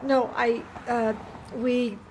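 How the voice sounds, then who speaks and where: Woman acting as a stammering ashamed mom, slow, audio drama, street noise behind. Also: fairly high pitch, flat delivery, a little mellow. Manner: halting, stammering. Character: distraught, ashamed young mother living in car.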